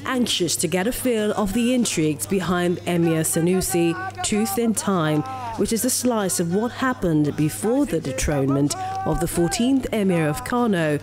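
A woman's voice: on the low side, lively intonation, normal speed, over music. A woman with a Nigerian accent narrating a scripted television news feature as a reporter.

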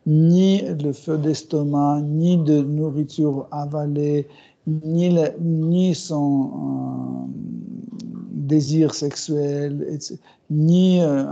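A middle-aged man giving a scripture class in a small room, speaking slowly and hesitantly with many fillers and soft chuckles. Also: on the high side. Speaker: spiritual teacher. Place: small room with bookshelves, indoors. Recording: dull, muffled recording.

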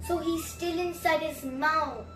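A young girl reading a storybook aloud and retelling it in chatty, animated asides with emphatic repetition. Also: sits high, a little slow. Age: child, about 7 to 10. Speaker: story reader, host of a children's story channel. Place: small room at home, indoors. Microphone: camera a few feet away. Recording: roomy, distant mic, music behind.

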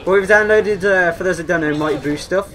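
A young British man in casual gaming banter, excitable and quick to exclaim. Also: high voice. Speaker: gamer. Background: video game music.

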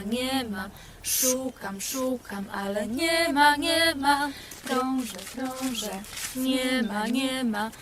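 High-voiced woman singing slowly. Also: fairly bright tone. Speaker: singer. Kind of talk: children's worship song, singing.

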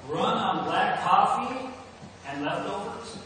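Middle aged guy preaching slowly from a pulpit, measured, far from the mic with clear background noise. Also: sits high, lively intonation, pushed and bright. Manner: measured, deliberate delivery with pauses. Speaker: pastor. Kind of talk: sermon. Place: indoors at a church pulpit. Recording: echoey hall, distant mic, noisy background.

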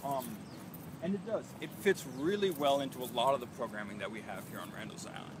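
A man's voice: mid-range voice, quick pace. A man in his thirties, a farm manager, cheerfully explaining vegetables while walking through an outdoor garden, filmed on a phone. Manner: enthusiastic, explanatory, walking and pointing at plants. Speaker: urban farm manager giving a tour. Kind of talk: live guided tour. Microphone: phone camera a few feet away. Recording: outdoor phone recording with noise.

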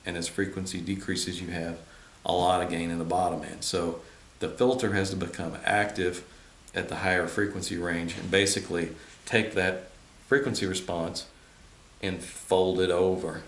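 A middle-aged man with a very low voice talks through technical material like an informal instructor. His phrasing is measured, with stops and starts. The room adds clear echo, and a faint hiss sits behind him. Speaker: host and loudspeaker designer explaining. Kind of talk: informal technical explanation to camera. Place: indoor listening room with large speakers. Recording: roomy echo, audible hiss.

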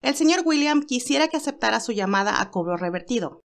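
Woman playing a high-voiced polite telephone operator. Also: lively intonation, fast, gravelly. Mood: businesslike. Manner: formal, courteous.

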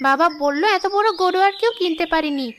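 A woman's voice: very high-pitched, brisk, background noise. A woman voicing a young village girl in a cartoon, childlike, outspoken and insistent. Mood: boastful, haughty. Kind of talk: cartoon voice acting.

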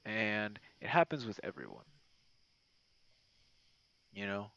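Slow, gravelly low-voiced man chatting. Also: huge pitch swings, fairly bright tone, no room sound. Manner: rambling, halting, informal. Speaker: ASMR roleplay creator. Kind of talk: casual late-night chat, ASMR. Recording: dull, muffled lo-fi audio.

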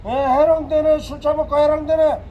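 A man at a street protest, yelling insults with drawn-out angry cries outdoors. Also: extremely high pitch, flat delivery, highs cut off, slight background noise. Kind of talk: shouted protest rant.

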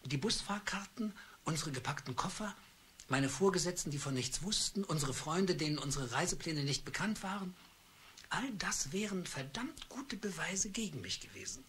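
A bitter husband in an old radio drama, laying out his accusations coldly and deliberately. His voice sounds very husky and rough. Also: on the high side, lively intonation, fairly bright tone, full character voice. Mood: coldly accusing. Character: bitter middle-aged husband turned cold avenger. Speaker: husband Charles. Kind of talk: radio play acting.

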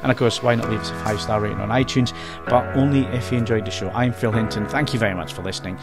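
Low-voiced British man hosting a podcast. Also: rapid-fire, over music. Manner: relaxed, chatty, rambling wrap-up. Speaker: podcast host. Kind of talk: casual chat.

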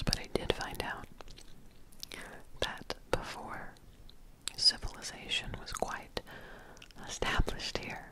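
A young woman playing a terrified, sleepless woman haunted in her house, in a halting breathy whisper for paranormal ASMR, close to the mic. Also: close to an everyday voice, poor audio. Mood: uneasy. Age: young adult. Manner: halting, repetitive, unnerved muttering. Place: small indoor home studio room. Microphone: close desk microphone with foam cover.

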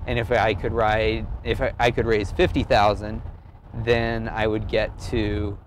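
Man, casual interview storytelling, earnest, halting delivery, faint outdoor street noise. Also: on the low side, flat delivery. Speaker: amateur cyclist and blogger being interviewed. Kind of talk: casual personal storytelling to camera.

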